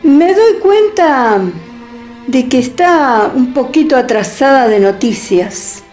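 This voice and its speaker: A woman playing a proud, sharp-tongued young woman in a radio drama, with a Rioplatense Spanish accent. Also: high voice, expressive. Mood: cool ironic. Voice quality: cool, ironic, measured. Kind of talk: online radio drama acting. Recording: faint music underneath.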